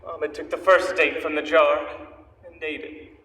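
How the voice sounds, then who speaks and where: A man's voice: fairly high pitch, little pitch movement, measured pace, sharp tone. A man narrating a story as staged reader's theater. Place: university performance hall. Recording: echoey hall, distant mic.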